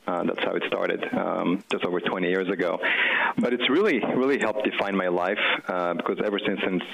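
A man's voice: low-pitched, fairly quick, gravelly, fairly bright tone, low-quality recording. A man in his forties with an Israeli accent, a company chief talking as a guest in a casual interview, telling his story with lots of fillers and restarts.

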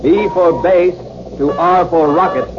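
Noisy muffled old radio, man playing dutiful military operator, fairly high voice, American, formal, measured. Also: close to an everyday voice. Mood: dutiful, focused. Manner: formal, measured call-sign delivery. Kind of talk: 1950s radio drama acting.

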